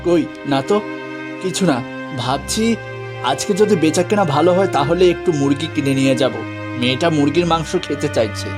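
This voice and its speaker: A man voicing an honest, weary, gentle poor village father in a cartoon. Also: on the high side, a little fast, over music, lightly acted. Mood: earnest. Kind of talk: cartoon fairy tale voice acting.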